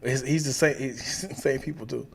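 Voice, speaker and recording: A man co-hosting a podcast, talking in relaxed, casual chat that often trails off. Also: medium pitch, normal speed, vocal fry, slight background noise. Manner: relaxed, trails off, quotes others in raised voices. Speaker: podcast co-host.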